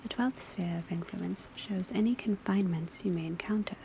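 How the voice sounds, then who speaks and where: A woman playing a professor of divination at a witch school, lecturing in a soft, breathy, measured whisper in an ASMR roleplay. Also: mid-range voice, natural voice. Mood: calm, instructive. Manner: measured, instructive, hushed. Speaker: teacher. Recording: close ASMR recording with background ambience noise. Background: crackling fireplace, pencil writing, rain on rooftop.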